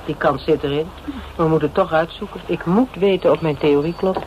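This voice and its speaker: An actor playing a stubborn, determined amateur sleuth in a Dutch radio crime drama, talking bluntly and colloquially. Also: male voice, fairly high pitch, a little fast, muffled recording, natural voice. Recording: noisy old radio drama recording.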